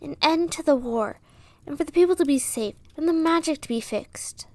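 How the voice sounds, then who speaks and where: A woman's voice: high voice, normal speed, dry recording, lightly acted. A woman playing a brave, pleading young commoner girl in a fantasy audio drama, speaking earnestly. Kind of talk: fantasy audio roleplay acting.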